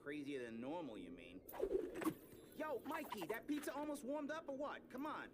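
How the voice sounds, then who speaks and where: An actor voicing a tough, hot-headed young male mutant turtle who talks gruffly in street slang. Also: extremely high pitch, very animated, normal speed, heavy background noise. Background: game music.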